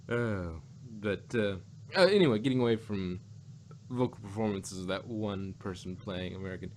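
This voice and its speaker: A man's voice: fairly low pitch, measured pace, pauses often, slight background noise. A man co-hosting a casual review podcast, rambling and often rephrasing as he goes. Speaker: podcast co-host reviewing audio drama. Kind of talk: casual chat.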